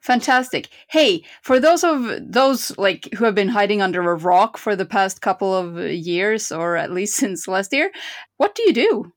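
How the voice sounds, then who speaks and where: A woman's voice: medium pitch, very animated, normal speed. A woman hosting a casual interview, upbeat and encouraging, laughing along with her guest. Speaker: podcast host.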